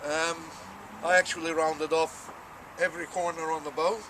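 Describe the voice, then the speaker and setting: A man's voice: a little high, flat delivery, moderate pace, noisy background. A man co-hosting a casual unboxing chat outdoors, talking easily and listing items as he unpacks them. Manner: chatty, lists items as he unpacks. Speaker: archery shop co-host.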